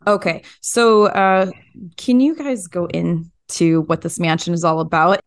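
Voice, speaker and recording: A woman hosting a conversational true crime interview, informal and quick to react as she guides her guests. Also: fairly low pitch, fairly even intonation. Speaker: podcast host interviewing journalists.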